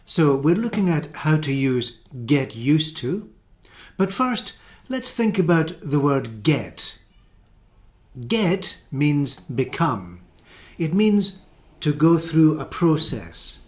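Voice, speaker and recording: Male ESOL teacher, slow deliberate delivery, patient step-by-step explanations. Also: fairly high pitch, very animated, darkish tone. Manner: careful, repeats and rephrases each example. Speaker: English teacher. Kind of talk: slow explanatory grammar lesson.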